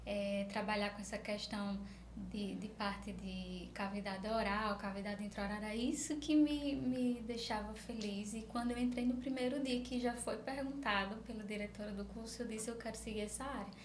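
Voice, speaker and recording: A woman's voice: medium pitch, steady tone, moderate pace. A woman with a Northeastern Brazilian accent, a speech therapist answering in a conversational interview, explaining things through examples. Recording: roomy echo, faint background noise.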